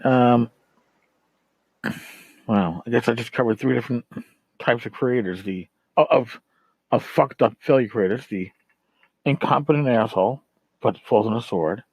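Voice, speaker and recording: A man's voice: medium pitch, measured pace, pauses often, a little mellow, slightly rough, dry recording, poor audio. A middle-aged man talks as a podcast host in a loose, rambling monologue. He is blunt and exasperated, swearing freely as he vents. The sound is dry, with very little room in it. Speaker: tabletop gaming podcast host. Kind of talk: casual ranting monologue.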